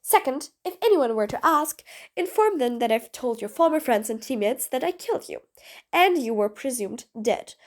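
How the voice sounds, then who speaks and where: A cool-headed female supervillain, as a woman plays her, blunt and sardonic, giving orders in clipped lines. Her voice sits high and swings widely in pitch. An audio roleplay with a commanding edge. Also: expressive, normal speed. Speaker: voice actor. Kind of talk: audio roleplay, one side of a dialogue.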